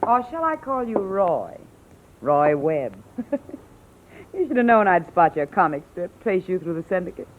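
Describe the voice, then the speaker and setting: An actress playing a cool, mocking, greedy blackmailer in a vintage radio drama, insinuating and controlling. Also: female voice, lowish voice, big pitch swings, unhurried. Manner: taunting, insinuating. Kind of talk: 1940s-50s radio drama acting. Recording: tinny old radio recording.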